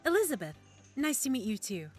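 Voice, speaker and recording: A woman playing a nervous, polite medical examiner in an audio drama, speaking in a hesitant, halting way. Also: sits high, big pitch swings, darkish tone, soft background music. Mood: polite, tentative. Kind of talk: naturalistic audio drama dialogue.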